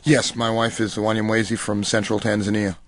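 A middle-aged American man from New York City, giving thoughtful, deliberate interview answers with pauses mid-phrase. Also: fairly low pitch, level tone, no room sound, clean studio sound. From New York City, United States. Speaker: interview guest, coffee cooperative marketing director. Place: radio studio.

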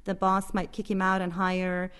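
A woman's voice: medium pitch, monotone, moderate pace, a bit bright, smooth. A woman speaking as a reporter in an interview, explaining things in an earnest, emphatic way. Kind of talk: spoken radio interview, explanatory.

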